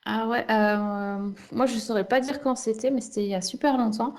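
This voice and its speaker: A woman's voice: on the high side, steady tone, normal speed, a little mellow. A woman in casual podcast chat, speaking informally and a little hesitantly.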